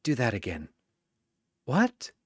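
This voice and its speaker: A man's voice: medium pitch, huge pitch swings, takes it slowly, husky, dry recording, studio quality, exaggerated voice. A man voicing a tough, guarded female fighter who speaks tersely and bluntly in a fantasy battle story. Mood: startled, demanding. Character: tough, guarded young woman brawler, gruffly affectionate.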